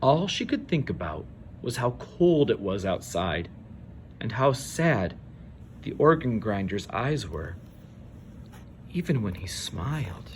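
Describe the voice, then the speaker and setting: Male children's story narrator. Slow, very varied intonation, dramatic pauses. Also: fairly low pitch, sing-song, slight background noise. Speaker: storybook reader. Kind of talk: expressive children's read-aloud narration.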